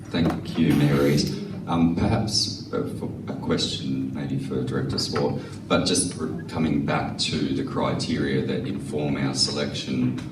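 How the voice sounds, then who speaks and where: Councillor man, extremely deep flat voice, hesitant, echoey with hiss. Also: a little slow. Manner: hesitant, tentative. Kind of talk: formal question at a council meeting. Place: council chamber. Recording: echoey chamber, distant mic, noticeable hiss.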